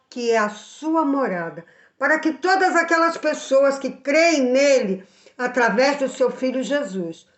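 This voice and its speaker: A woman giving a Bible lesson to children, speaking slowly and earnestly and putting questions to the listener. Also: on the high side, roomy sound. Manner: earnest, slow and emphatic, asks the listener questions.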